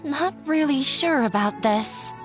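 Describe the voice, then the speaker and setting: A woman's voice: high-pitched, lively intonation, slow, music in the background. A woman playing a shy, anxious young woman in a video game, timid and hesitant. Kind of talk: game character dialogue.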